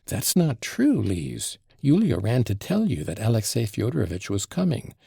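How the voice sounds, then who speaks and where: A fretful, excitable society mother wails and gushes, her voice leaping high and swooping all over, as a male audiobook reader puts her on. The tone is gravelly and husky, recorded close and dry. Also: moderate pace, no echo, full character voice. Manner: gushing, breathless, high and swooping. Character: fretful excitable wealthy woman, middle-aged mother. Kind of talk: audiobook reading of a classic novel.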